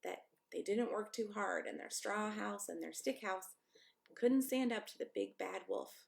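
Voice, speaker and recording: A woman's voice: medium pitch, normal speed, gravelly. A woman pastor reading a children's story aloud expressively, with chatty asides to young listeners.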